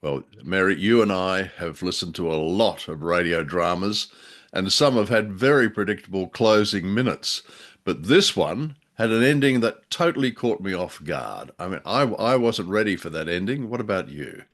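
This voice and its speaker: A man co-hosting a conversational review show, explaining his opinions in a measured way. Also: low-pitched, animated, fairly bright tone. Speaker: podcast co-host reviewing radio dramas.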